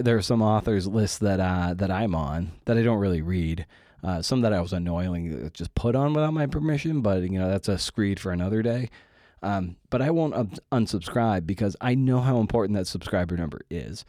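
A man's voice: lowish voice, fairly quick, warm tone, no echo, studio quality. A man hosting a podcast with a casual monologue, talking in a slangy, irreverent, self-deprecating way. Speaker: podcast host.

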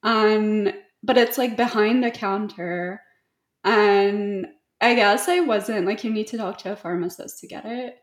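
A young woman from Canada, freshly awake, chatting breezily with lots of "like" and little laughs. Her voice sits mid-pitch and carries a roomy echo from the recording space. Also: a little slow. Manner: breezy, giggly, filler-heavy, "like" every few words. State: just woken up. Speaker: podcast co-host. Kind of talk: casual chat.

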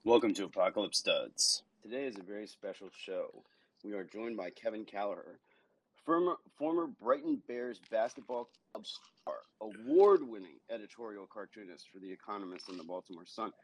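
A man's voice: fairly low pitch, lively intonation, moderate pace, low-quality recording. A man hosting a podcast, moving between wry announcements and casual questions. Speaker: podcast host. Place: indoors, in person with guest.

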